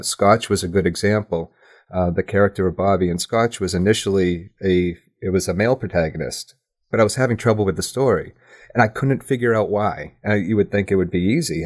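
A man with a low-pitched voice talks as a guest in a conversational interview. He is reflective and thoughtful, often halting and restarting as he works out what he means. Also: a little mellow. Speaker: interview guest, audio drama writer.

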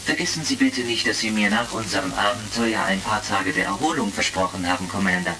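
An actor playing a dryly formal, logical combat robot in a retro sci-fi audio drama, speaking in stilted, literal phrases. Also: male voice, fairly low pitch, a little fast, lightly acted. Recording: vintage crackle, music, distant sound.

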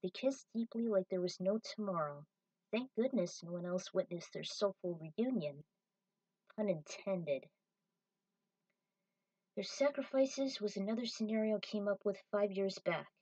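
Female amateur author-narrator, medium pitch, reading scripted fiction aloud. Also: expressive, a little slow, warm tone, poor audio.

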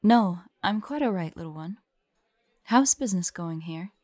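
A woman playing a weary, protective demon hunter in an audio drama, gentle yet resolute, with a measured, solemn delivery. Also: fairly low pitch, lively intonation, no room sound. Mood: gentle, tired.

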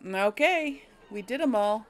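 Woman, middle-pitched, unhurried, casual gaming commentary, musing aloud, noisy background with game ambience. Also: animated. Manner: musing, hesitant self-correcting talk to viewers. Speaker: gamer hosting a role-play playthrough.

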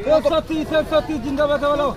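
A man's voice: extremely high pitch, level tone, quick pace. A man, a fish seller calling out prices repeatedly at an outdoor village market. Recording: noisy crowded outdoor market. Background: loud crowd and street noise.

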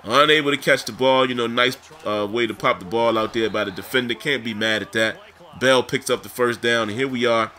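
Guy calling a football video game, raspy sick voice but bright and forceful, chatting to viewers. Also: medium pitch, fairly even intonation, a little fast. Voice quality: scratchy, raspy. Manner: casual, addresses viewers directly. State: under the weather with a scratchy voice. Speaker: gaming video host. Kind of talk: gameplay commentary.

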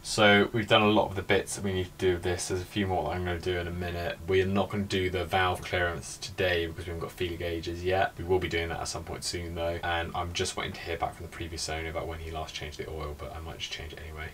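A young man with a fairly low voice chats casually. He is recorded on a camera in a boat cabin, with clear noise in the background. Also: little pitch movement, fast talker, few pauses, a bit bright. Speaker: boat-living vlog regular. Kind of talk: casual chat. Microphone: handheld camera.

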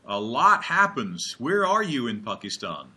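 An older man hosting, asking slow, clear, encouraging questions over a video call. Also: medium pitch, very animated, bright, forceful tone. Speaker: host. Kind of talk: friendly interview questions.

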